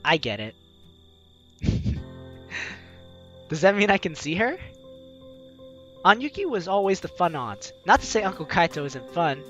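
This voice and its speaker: A man's voice: on the high side, a bit bright. A man hosting a game playthrough, reading narration and dialogue aloud expressively, with casual asides. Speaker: host of a video game playthrough.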